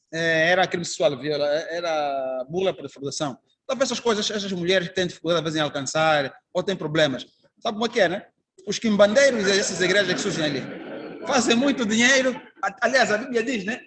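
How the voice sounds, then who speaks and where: Angolan guy, judge lecturing, high voice, chatty, keeps asking the class questions. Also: fairly bright tone. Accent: Angolan Portuguese. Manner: conversational, anecdotal, asks the class rhetorical questions. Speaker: judge and trainer. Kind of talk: informal lecture to trainee magistrates.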